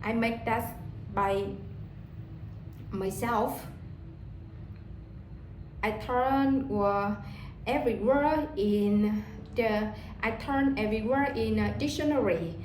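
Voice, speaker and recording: A woman from Vietnam with a Vietnamese accent, talking casually in English about how she learns it, halting and often repeating words as she searches for them. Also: on the high side, darkish tone, roomy sound, slight background noise, rough recording.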